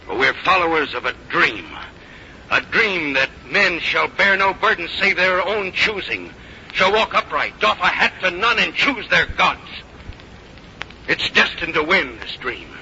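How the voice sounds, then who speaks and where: An actor playing a weary, proud, resolute general in a 1950s radio drama, speaking in a grave, formal, oratorical manner. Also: male voice, sits high, animated, measured pace, sharp tone, exaggerated voice. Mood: defiant, stirring. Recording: muffled old radio broadcast, faint noise.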